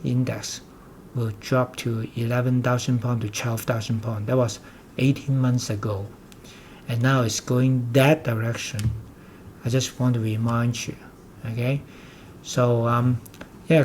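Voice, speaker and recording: An older man with a Hong Kong accent, a native Cantonese speaker, lectures on investing at a middle pitch. He drives his points home bluntly and repeats his warnings again and again. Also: darkish tone, a little hiss or hum. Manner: emphatic and repetitive, with blunt warnings. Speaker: investment commentator. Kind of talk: lecturing market commentary.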